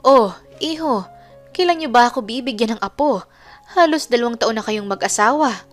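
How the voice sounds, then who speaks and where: A woman's voice: fairly high pitch, lively intonation, normal speed, faint music behind, voice pushed up, natural voice. A woman voicing an exasperated older mother in a romance audio drama, insistent and scolding. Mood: prodding. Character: nagging older mother of a governor, exasperated matriarch.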